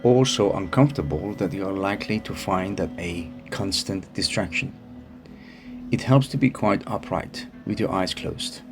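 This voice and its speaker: Slow, low-voiced man guiding meditation. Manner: calm, soothing, unhurried phrasing. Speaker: meditation guide. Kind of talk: guided meditation narration. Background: music behind the voice.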